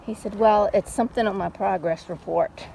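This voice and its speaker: A middle-aged woman chatting casually in an unhurried, folksy way, like a mom telling stories while out on a walk. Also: mid-range voice, gravelly. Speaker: storytelling vlogger and mother. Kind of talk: casual rambling chat. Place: outdoors, walking. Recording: steady background noise, outdoors on a walk.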